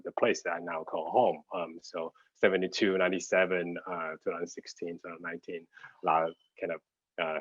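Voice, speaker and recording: A middle-aged man from Taiwan with a Taiwanese accent, giving an informal online talk as a designer in a halting, hesitant way while searching for words. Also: low-pitched, breathy edge, no room sound. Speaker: graphic designer and studio head giving a guest talk. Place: at home, on a video call. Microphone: computer video call. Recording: dull, lo-fi video call audio.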